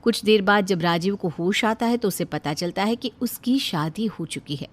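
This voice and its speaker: A woman's voice: mid-range voice, normal speed. A woman narrator reading a scripted cartoon tale in storytelling style.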